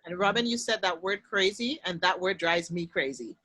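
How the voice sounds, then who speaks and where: A woman's voice: fairly low pitch, moderate pace, pushed and bright, slightly rough, no room sound. A woman from Trinidad with a Trinidadian accent, hosting a panel discussion and speaking earnestly and emphatically.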